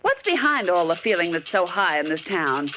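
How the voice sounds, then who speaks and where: A woman's voice: fairly low pitch, very animated, moderate pace, a bit bright, highs cut off. An actress playing a persistent, impatient female reporter, pressing with insistent questions in 1940s radio serial style. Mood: defiant, insistent. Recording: faintly noisy vintage radio recording.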